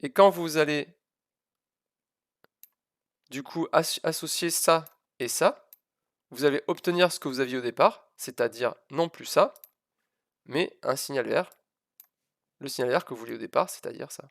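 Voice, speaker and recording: Gravelly-voiced man teaching physics live. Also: a little high, normal speed, long pauses, fairly bright tone, no room sound, studio quality. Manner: hesitant, self-deprecating, repeats and stresses key words. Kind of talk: informal live lesson, explaining a demonstration.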